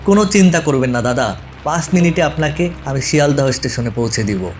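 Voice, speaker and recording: A man playing a young, carefree auto-rickshaw driver in an animated story, talking in a casual, colloquial way. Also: medium pitch, fairly quick, a little hiss or hum, close to an everyday voice. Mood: eager.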